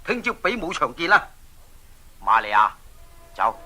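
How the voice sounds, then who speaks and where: Hearty, husky island king. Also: fairly high pitch, big pitch swings, a little slow, pushed and bright, soft background music, heavily acted. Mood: boastful, taunting. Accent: Hong Kong Cantonese. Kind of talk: 1980s Cantonese children's audio drama.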